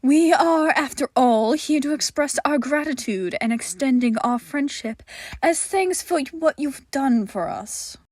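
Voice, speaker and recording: Woman as a high, eerie female entity. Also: lively intonation, a little slow, darkish tone, no echo, studio quality. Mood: veiled threat. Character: eerie female twin entity, courteous but threatening.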